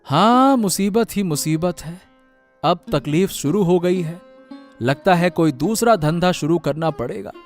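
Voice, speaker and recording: A man's voice: high voice, expressive, unhurried, faint music behind. A man voicing a worried, struggling village vegetable seller in a cartoon story.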